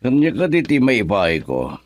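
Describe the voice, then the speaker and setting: An actor with a Filipino accent playing a soft-spoken, hospitable older fatherly man in a radio drama. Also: mid-range voice, wildly swooping pitch, fairly quick, a little hiss or hum. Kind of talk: Ilocano radio drama acting.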